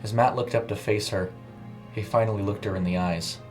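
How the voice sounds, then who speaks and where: A man's voice: on the low side, fairly even intonation, a little fast, darkish tone. A man narrating a scripted horror short story in a dramatic storytelling style. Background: music under the voice.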